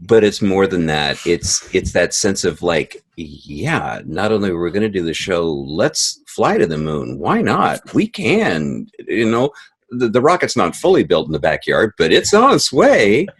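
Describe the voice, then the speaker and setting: Very deep, husky middle-aged man. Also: sing-song, unhurried. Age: middle-aged or older. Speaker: interview guest, audio drama composer. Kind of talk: casual interview chat.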